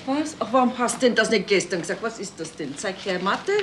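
An actress playing a brisk, bossy wife and mother with a Bavarian accent in a radio crime play. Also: medium pitch, animated, lightly acted. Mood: scolding. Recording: 1970s radio play, faint noise.

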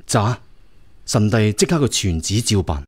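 A man's voice: lowish voice, lively intonation, a little slow, darkish tone, faint music behind. An actor playing a dutiful prince serving his emperor in a radio drama, respectful and formal. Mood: deferential. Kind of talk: Cantonese radio drama acting.

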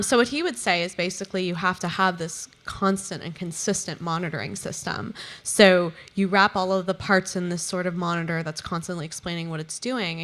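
A woman's voice: fairly low pitch, fairly bright tone. A young woman panelist answering a question in a lecture hall, explaining in a casual way with fillers. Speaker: panelist researcher.

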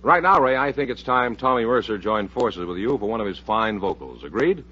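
Man, American accent, old-time radio announcer, lively intonation, earnest formal delivery, husky edge, dull vintage recording. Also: lowish voice, a little fast. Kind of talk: scripted old-time radio announcing, public service appeal.

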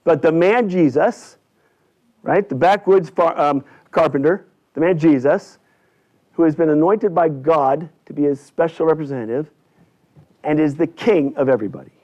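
Guy pastor giving a sermon, kinda higher voice, deliberate phrasing, bit of a dull lo-fi church recording. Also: darkish tone. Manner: emphatic, deliberate short phrases with repetition. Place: indoors in a church at a lectern.

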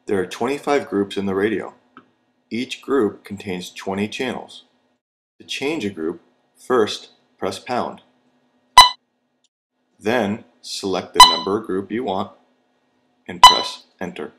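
A man reading scripted instructional narration for a training video in a measured, step-by-step, plain manner. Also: on the low side, heavy background noise.

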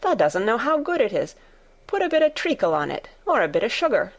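A woman's voice: on the high side, sing-song, fairly quick, voice pushed up. A woman voicing a good-tempered, outspoken young Yorkshire maid with a broad, homely dialect, in a storybook reading. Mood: indignant.

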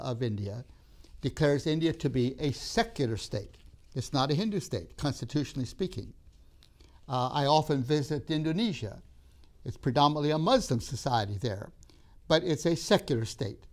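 An older man who grew up in Tanzania, giving a measured classroom lecture with emphatic pauses, recorded on a lapel mic in a studio classroom. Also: medium pitch. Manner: measured, emphatic pauses, gestures while talking.

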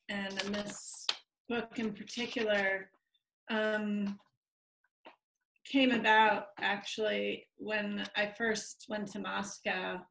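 A woman author talking softly and hesitantly about where her book came from, on a video call. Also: medium pitch, gravelly, roomy sound, a little hiss or hum, low-quality recording. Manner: slow, hesitant, soft-spoken. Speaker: author reading her work. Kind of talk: reflective talk in an online reading. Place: home room on a video call.

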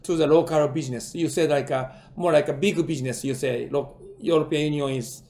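A man, interviewing conversationally in halting, phrasing. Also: fairly high pitch, fairly even intonation, darkish tone. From Japan. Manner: halting non-native phrasing, frequent listening murmurs. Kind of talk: conversational interviewing over a call.

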